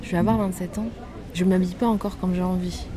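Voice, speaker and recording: A young woman chats softly in a slightly low voice. She talks casually and hesitantly, often doubling back on her words. There is clear background chatter around her. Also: fairly even intonation, quick pace. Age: 20s. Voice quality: soft, low-volume voice. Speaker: guest in a chat video. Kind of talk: soft-spoken casual chat.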